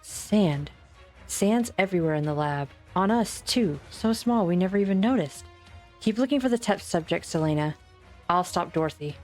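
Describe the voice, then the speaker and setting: A woman voicing a principled, resolute physician in an amateur fan dub, speaking in an earnest, deliberate way. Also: fairly low pitch, expressive, darkish tone, faint music behind, natural voice. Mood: alert. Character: principled, observant female physician, calm and resolute.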